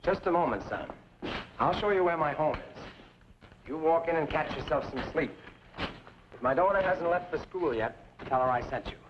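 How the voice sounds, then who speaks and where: An actor playing a genial, bookish middle-aged frontier printer in a western drama, talking in a theatrical, poetic manner. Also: male voice, a little high, expressive, normal speed, natural voice. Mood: genial, philosophical. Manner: theatrical, grandiloquent, quotes poetry and scripture. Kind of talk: old-time western drama acting. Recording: dull lo-fi recording, faint noise.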